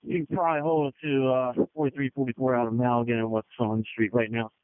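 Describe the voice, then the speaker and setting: A male fire commander speaks over a two-way radio in a matter-of-fact way. His voice sits at a middle pitch, and the narrowband transmission is tinny and very dry. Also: level tone, fast, no room sound. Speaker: fire chief in incident command. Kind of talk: emergency radio traffic, incident command.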